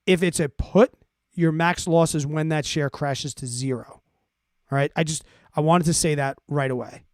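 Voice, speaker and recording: A man's voice: on the high side, fairly even intonation, moderate pace, no room sound, studio quality. A man hosting a finance livestream, explaining things casually and conversationally while checking in with his listeners. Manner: conversational, repeats check-ins like "right?" and "okay?". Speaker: host of a finance livestream answering listener questions. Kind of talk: casual explanatory talk to a live audience.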